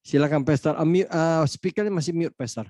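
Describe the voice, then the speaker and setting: A man's voice: fairly high pitch, a little fast, a little mellow, no echo, studio quality. A man hosting an online church meeting over a video call, chatty and friendly, joking and laughing often. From Ambon. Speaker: host pastor.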